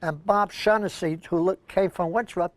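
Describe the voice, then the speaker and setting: Senior male co-host, Brooklyn accent, higher-pitched voice, anecdotal and chatty, dry recording. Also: fairly even intonation, moderate pace, dry recording. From Brooklyn, New York, USA. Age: older, senior. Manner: rambling, anecdotal storytelling. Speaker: co-host of a senior news show. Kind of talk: casual reminiscing chat.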